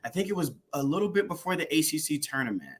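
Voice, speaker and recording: A young man guesting on a sports podcast over a video call, an excitable storyteller who laughs often. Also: on the high side, fast talker. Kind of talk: casual sports podcast chat.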